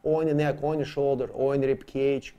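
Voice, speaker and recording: Male riding instructor, non-native English speaker, dark mellow tone, explains methodically and drives key terms home by repetition. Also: medium pitch, little pitch movement, fast, smooth, lo-fi sound. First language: not English. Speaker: horsemanship trainer and instructor.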